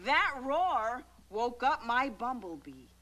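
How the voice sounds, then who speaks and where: Gentle mother, children's show, lively swooping pitch, slow pace, encouraging. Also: full character voice. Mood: animated storytelling. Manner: expressive, encouraging, addressing young children. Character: gentle, encouraging mother reading a bedtime poem. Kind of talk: children's show acting and animated read-aloud.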